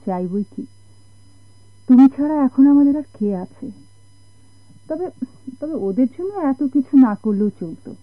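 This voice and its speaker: A woman with a Bangladeshi accent playing a gentle, sorrowful sailor's wife in a radio drama, with a plaintive, hesitant delivery. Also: high-pitched, animated, normal speed, pauses often, slightly rough, dry recording. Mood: grateful, wistful. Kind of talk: Bengali radio drama acting. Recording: dull, narrow-band old radio recording.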